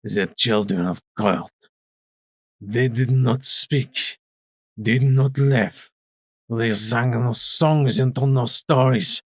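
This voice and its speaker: A battle-worn male zebra soldier, voiced by a man, speaks slowly and gravely. His voice is low, husky and gravelly, and the recording is dry. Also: no room sound. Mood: grim. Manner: slow, grave, measured. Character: weary male zebra soldier, grim survivor.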